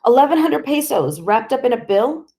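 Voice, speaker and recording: A woman's voice: on the high side, big pitch swings, darkish tone, voice pushed up. A woman voicing a cruel, contemptuous man in a suit who fires sneering questions. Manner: sneering, rapid-fire questioning.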